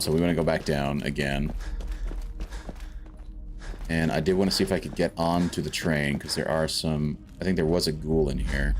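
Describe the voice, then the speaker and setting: A man from South Africa streaming a game, chatting casually and thinking aloud as he plays. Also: extremely low pitch. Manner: chatty, rambling, thinks aloud while playing. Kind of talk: casual gameplay commentary. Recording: faint game music behind.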